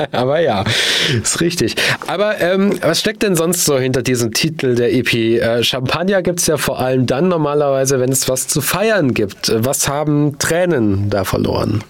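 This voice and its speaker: A man's voice: medium pitch, lively intonation, normal speed, no echo. Chatty male radio host. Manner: casual, chatty, laughs often. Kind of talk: radio interview.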